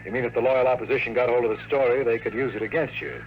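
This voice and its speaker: An American actor playing a cocky, wisecracking private investigator in a 1950s radio drama, with dry, slangy tough talk. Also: male voice, medium pitch, steady tone, very fast, lo-fi sound, close to an everyday voice. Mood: dry, shrewd. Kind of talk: 1950s radio drama acting, hardboiled narration. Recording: noisy vintage 1950s radio recording.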